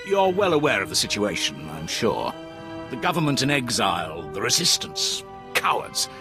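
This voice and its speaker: An actor playing a brash, gung-ho Imperial lieutenant in a video game, raspy and cocky with theatrical emphasis. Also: male voice, medium pitch, huge pitch swings, moderate pace, music in the background. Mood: eager, cocky. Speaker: military officer character.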